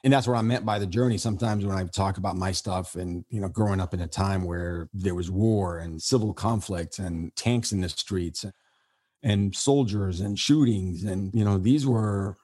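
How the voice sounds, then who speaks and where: Deep husky man, earnest and reflective. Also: normal speed, a little mellow. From Syria. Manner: earnest, reflective, rambling with many restarts. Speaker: podcast host being interviewed. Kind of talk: conversational podcast interview.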